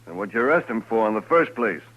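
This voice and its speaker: A dull old radio recording with a lowish male voice. a bossy western townsman, suspicious and impatient, who speaks in brusque demands. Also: very animated, fast. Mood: indignant. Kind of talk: 1950s radio western acting.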